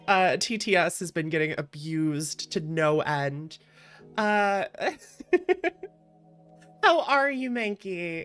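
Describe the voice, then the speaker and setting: A woman hosts a livestream in casual chat over background music. Her delivery is very lively in pitch and unhurried in pace. She is giggly and expressive, with a streak of playful exasperation. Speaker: livestreamer, VTuber host.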